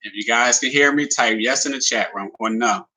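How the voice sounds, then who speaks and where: A man's voice: medium pitch, fairly quick, sharp tone, poor audio. A man hosting a casual livestream, talking to viewers in a plain, friendly way with lots of fillers. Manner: talks in a plain, simple way with many "you know" fillers; friendly, addresses viewers as "guys". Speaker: livestream host presenting a business opportunity.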